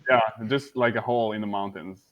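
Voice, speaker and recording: A man's voice: lowish voice, fairly even intonation, brisk, dull audio. A man from Italy in a casual online chat, easygoing and brief. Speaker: guest.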